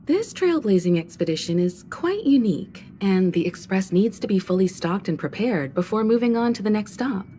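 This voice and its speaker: A woman voicing a poised, mature crew leader who speaks calmly and with gentle authority in a game cutscene. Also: fairly low pitch, slight background noise, close to an everyday voice. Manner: calm, composed, gently authoritative. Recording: game cutscene with faint music.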